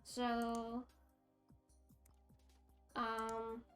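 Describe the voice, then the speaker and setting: A woman's voice: a little high, monotone, very slow, clear, resonant tone, faint music behind. A young French Canadian woman with a French Canadian accent, chatting casually on a livestream, rambling with lots of fillers and restarts. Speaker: streamer.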